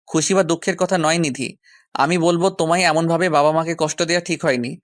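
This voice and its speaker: Audio story lead: male actor as a gentle, caring young boyfriend, soothing, dry recording. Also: on the high side, fairly even intonation, a little fast, no room sound, close to an everyday voice. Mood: concerned, gentle. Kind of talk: audio story character acting.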